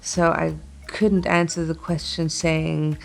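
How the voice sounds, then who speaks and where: A woman from Chile, raised across Europe, speaks as an artist being interviewed, earnest and thoughtful, pausing mid-sentence. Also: deep voice, flat delivery, vocal fry, soft background music. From born in Chile, grew up in Italy, England and Germany. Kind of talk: reflective interview talk.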